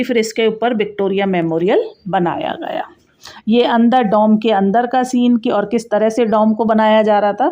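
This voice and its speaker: A woman's voice: fairly high pitch, a little mellow. A woman giving a casual, chatty travel vlog voice-over, explaining sights directly to viewers. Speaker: travel vlogger narrating a sightseeing tour. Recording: dull, muffled lo-fi sound.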